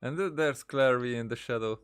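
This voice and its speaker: A man's voice: mid-range voice, a little fast, clean tone, soft background music. A man giving casual playthrough commentary as a gaming streamer, musing hesitantly as he reacts.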